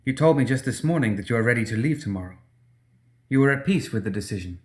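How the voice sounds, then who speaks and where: A man's voice: medium pitch, animated, quick pace, natural voice. A man voicing a young woman fighter, tender but proud, in an audiobook story. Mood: earnest, bitter.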